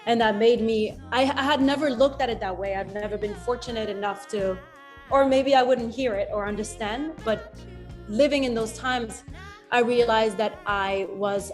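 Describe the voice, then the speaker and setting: A woman, a musician answering interview questions in a reflective way, laughing easily and often restarting her sentences. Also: on the high side. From France. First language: French. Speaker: musician guest.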